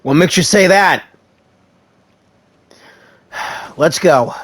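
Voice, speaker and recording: A woman's voice: lowish voice, drawn-out words, husky. A woman streaming a game, casually talking back to the characters as she reacts.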